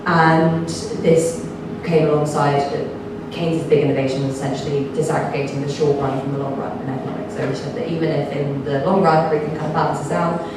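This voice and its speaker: A woman researcher giving a talk at a panel event, her voice slightly croaky, explaining steadily with many ums and kind-ofs. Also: deep voice, little pitch movement, a little fast. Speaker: think tank researcher, panel speaker. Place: event hall. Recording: echoey hall, from far off, noisy.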